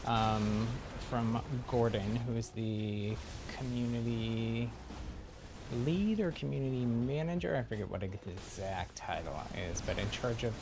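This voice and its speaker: A man's voice: mid-range voice, measured pace, noisy background. A man chatting casually and easygoing as he comments live on the video game he is playing. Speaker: game livestreamer.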